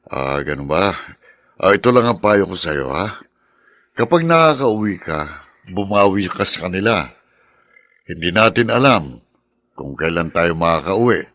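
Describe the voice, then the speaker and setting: Low-voiced fatherly Filipino captain. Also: very animated, unhurried, pauses often, a bit bright, dry recording, heavily acted. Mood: friendly, advising. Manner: conversational, counselling. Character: fatherly military captain, frank, advising senior officer. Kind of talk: Filipino radio drama acting.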